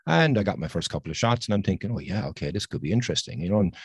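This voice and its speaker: A man's voice: low voice, lively intonation, very fast, husky, no room sound, studio quality. A middle-aged Irish man from Ireland, a guest on a podcast interview, talkative and enthusiastic over a video call. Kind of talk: conversational interview.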